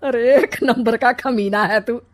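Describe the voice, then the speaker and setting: Woman doing a bossy older mother-in-law in a cartoon story, highish voice, dramatic, always plotting. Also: measured pace, lightly acted. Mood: conspiring. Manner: dramatic, complaining. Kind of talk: acted Hindi comic family story.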